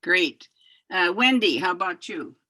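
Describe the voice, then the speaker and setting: A woman's voice: lowish voice, animated, measured pace, pushed and bright, no room sound. A woman facilitating an online workshop, calling on participants by name with short upbeat prompts. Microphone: video call.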